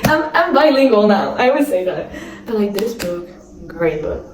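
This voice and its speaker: A woman's voice: mid-range voice, big pitch swings, moderate pace, reverberant, distant mic. A young woman vlogging, chatting and gushing, full of fillers, with a non-native accent. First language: not English. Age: young adult. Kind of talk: casual chat.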